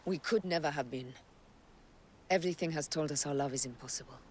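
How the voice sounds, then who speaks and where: A woman playing a steely, determined assassin leader in a video game, solemn, measured and intense. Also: deep voice, a little hiss or hum, lightly acted. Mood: cold, solemn. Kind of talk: dramatic video game acting.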